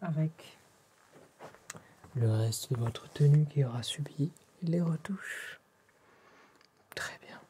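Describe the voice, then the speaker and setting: A man with a French accent whispers softly and breathily in an ASMR roleplay, addressing the listener politely and formally as an attentive tailor, close to the microphone. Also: a little high, slow pace, pauses often, slight background noise. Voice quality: soft, breathy whispering and hushed speech.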